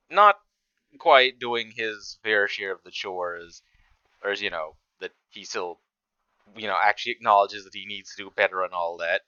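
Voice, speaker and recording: Low-voiced man, crisp and halting. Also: bright, forceful tone, dry recording, muffled recording. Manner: halting, trails off and repeats himself. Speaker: reactor. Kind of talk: casual reaction commentary.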